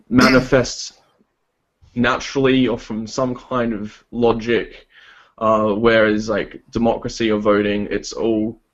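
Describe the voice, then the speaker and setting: This young man speaks low and flat, barely moving his pitch as he works through his thoughts with many pauses and restarts. He comes through a dull, lo-fi online call line. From Australia. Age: 20s. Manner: hesitant, many ums and restarts. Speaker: guest. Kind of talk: casual podcast chat.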